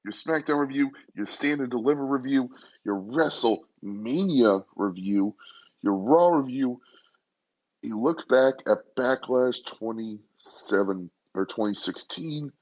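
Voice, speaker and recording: A hoarse young man hosting a casual solo podcast from a hotel room, talking quietly and rambling. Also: mid-range voice, animated, measured pace, dull audio. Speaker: podcast host.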